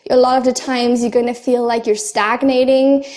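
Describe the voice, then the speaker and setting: A young woman shares her language-learning advice to camera. Her voice sits high, and she talks in an earnest, chatty way with easy fillers and small laughs. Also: steady tone, moderate pace, a little mellow. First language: German. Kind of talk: casual talk to camera.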